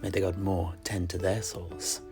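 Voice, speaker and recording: A man's voice: low-pitched, flat delivery, normal speed, creaky, darkish tone, faint music behind. Man acting a fussy, exasperated tavern keeper. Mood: resigned, helpful. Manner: flustered, wordy, mildly formal. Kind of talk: in-character audio drama dialogue.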